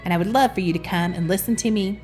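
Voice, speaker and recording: A woman's voice: medium pitch, fast talker, darkish tone. A woman reads a picture book aloud expressively, with affectionate, chatty asides to the listener. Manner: affectionate, addresses the listener as sweetheart. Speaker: children's storybook reader and host. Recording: music behind the voice. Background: music.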